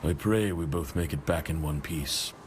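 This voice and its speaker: A man voicing a solemn male city leader, giving a measured speech to his people. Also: rumbling low, slight background noise. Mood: urgent.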